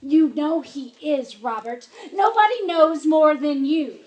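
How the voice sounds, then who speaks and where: An actress playing a sharp-tongued, indignant wife and mother in a radio play, pointed and sarcastic. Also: sits high, animated, takes it slowly. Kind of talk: live radio play acting.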